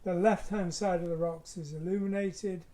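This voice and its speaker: A man, a little hoarse today, explaining his painting as he works in a casual live demo, with soft, dry, understated replies. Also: sits high, steady tone, moderate pace. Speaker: artist demonstrating painting.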